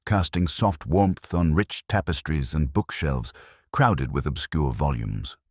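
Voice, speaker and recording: Male narrator, very low pitch, lively intonation, measured formal storytelling, scripted. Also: dry recording, clean studio sound. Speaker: audiobook narrator.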